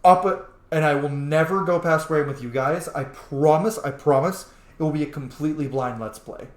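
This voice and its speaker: A man giving casual, informal gameplay commentary to his viewers, excitable and prone to swearing. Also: fairly high pitch, fairly quick. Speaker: let's play host. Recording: roomy echo, faint background noise.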